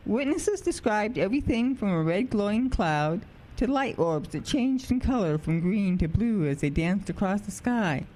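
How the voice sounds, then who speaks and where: An actress playing a composed local radio newsreader, delivering a formal, measured scripted bulletin in an audio drama. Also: female voice, medium pitch, warm tone, rough recording. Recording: clear background noise.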